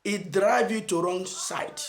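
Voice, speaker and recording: A Nigerian man giving an emphatic motivational message as a channel host, asking rhetorical questions and stressing phrases slowly. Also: sits high, echoey room. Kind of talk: motivational preaching to camera.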